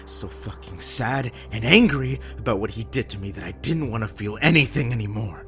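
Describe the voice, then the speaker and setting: A male actor as a deep-voiced young man worn down by guilt and despair. He speaks in a halting, heavy way, swearing as he goes, with prominent music behind him in an audio drama. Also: lively intonation, a bit bright. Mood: anguished pleading. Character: despairing, guilt-ridden young man, former drug user, apologetic brother. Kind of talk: emotional audio drama acting. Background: background music.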